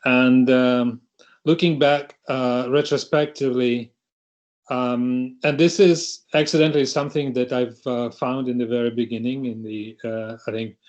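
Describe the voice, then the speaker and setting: A man's voice: mid-range voice, steady tone, darkish tone, lo-fi sound. A middle-aged man from Russia, speaking hesitantly on a panel over a video call. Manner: hesitant, halting, many restarts. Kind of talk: online panel talk.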